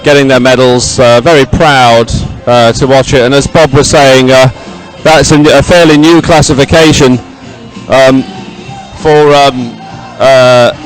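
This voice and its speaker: A man doing unscripted live sports reporting, halting often as he searches for words. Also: medium pitch, steady tone, soft background music, poor audio. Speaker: presenter reporting from the event.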